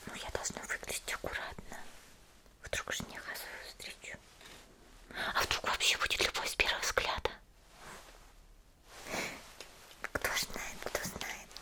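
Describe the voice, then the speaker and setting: A woman playing a cheerful, caring young female demon slayer in a soft, chatty whispered ASMR roleplay. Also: moderate pace, close to an everyday voice. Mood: helpful, caring, then dreamy. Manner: gentle, chatty, hesitant little murmurs.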